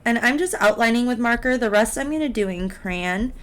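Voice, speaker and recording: A woman teaching art in a casual step-by-step drawing tutorial, encouraging and unhurried as she talks through each step while she works. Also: fairly high pitch, lively intonation, fairly bright tone. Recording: clear background noise, drawing sounds.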